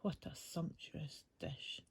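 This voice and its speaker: A woman reading a rhyming picture book aloud as a bedtime story, with a breathy voice and expressive, unhurried emphasis.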